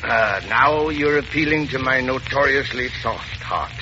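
An actor playing a greedy informant feigning scruples, theatrical and mock-pompous, in old radio comedy. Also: male voice, medium pitch, unhurried, lightly acted. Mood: mock indignant, wheedling. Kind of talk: 1930s-40s radio comedy acting. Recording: muffled noisy old radio recording.

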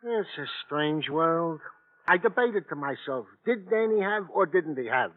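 An actor playing a chatty, eager-to-please comic sidekick in an old-time radio drama, with quaint, flowery turns of phrase. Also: male voice, on the high side, expressive, a little slow. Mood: wistful, chatty. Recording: tinny vintage radio recording.

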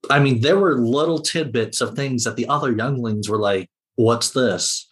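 A man's voice: mid-range voice, normal speed, fairly bright tone. A man speaking as a podcast guest in a casual discussion.

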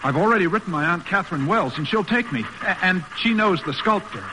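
An earnest, modest young American man in a classic radio play. His delivery is boyish and polite, with animated pitch movement. The old recording carries clear background noise. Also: a little fast. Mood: eager, hopeful. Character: earnest, respectful, modest young son and budding sculptor.

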